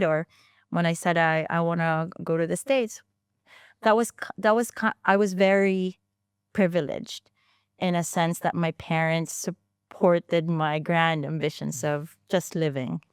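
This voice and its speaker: A woman's voice: fairly low pitch, normal speed, clean tone, no echo, clean studio sound. A woman in her thirties, a founder telling her story in a casual interview, chatty, with frequent restarts and fillers. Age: 30s. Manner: chatty, many restarts and fillers, laughs easily. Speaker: founder and guest.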